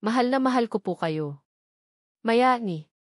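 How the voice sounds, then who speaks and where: A woman's voice: a little high, lively intonation, a little slow, no echo, clean studio sound. A woman voicing a heartbroken young mother reading out her farewell letter, humble and pleading. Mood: sorrowful, apologetic.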